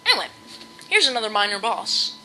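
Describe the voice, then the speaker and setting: A sixteen-year-old boy with a high-pitched voice, giving live gameplay commentary in a hesitant, rambling way with many ums and restarts. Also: sing-song, brisk, bright, forceful tone, slight background noise, rough recording. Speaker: let's play commentator. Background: video game music.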